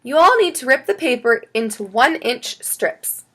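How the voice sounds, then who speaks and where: Woman voicing a calm, high-voiced schoolteacher. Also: moderate pace. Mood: instructive. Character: calm, instructive female elementary school teacher. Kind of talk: children's audiobook character.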